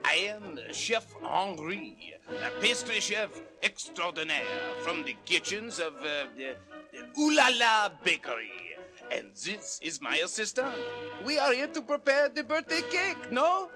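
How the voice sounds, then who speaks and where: Pompous French detective, cartoon radio play, high voice, slow theatrical delivery, French accent, loud music on vinyl. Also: male voice, expressive. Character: pompous French detective posing as pastry chef. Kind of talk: children's cartoon radio drama acting. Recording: loud music over vinyl record audio.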